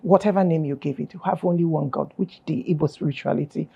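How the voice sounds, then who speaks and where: A woman's voice: low-pitched, quick pace, vocal fry, mellow, breathy edge, dull audio. A woman, a guest on an interview, explaining her beliefs firmly and stressing key words. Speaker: guest, film festival founder. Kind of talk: earnest explanatory interview talk.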